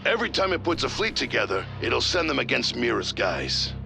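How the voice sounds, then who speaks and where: An actor playing an excitable, folksy military pilot in a video game, colloquial and animated. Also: male voice, mid-range voice, a little fast, gravelly. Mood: excited warning. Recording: battle machinery and music behind.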